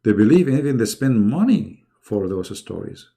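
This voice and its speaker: Man, middle-aged, second-language English, mocking debate host, fry in the voice. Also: on the low side, very animated, normal speed, dark tone. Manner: mocking, sarcastic, emphatic. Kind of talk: live online debate, addressing callers.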